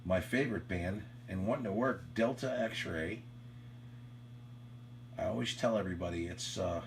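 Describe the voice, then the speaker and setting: Slow, low-voiced man chatting on radio. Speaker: amateur radio operator. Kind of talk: casual radio chat. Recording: faint hum and background noise.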